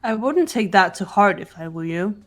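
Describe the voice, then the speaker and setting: A woman's voice: mid-range voice, expressive, moderate pace, soft background music, natural voice. Casting: woman playing analytical schoolgirl sleuth for a mystery game, mid-range, matter-of-fact and cool. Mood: calm, analytical. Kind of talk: amateur fan voice acting of a game script.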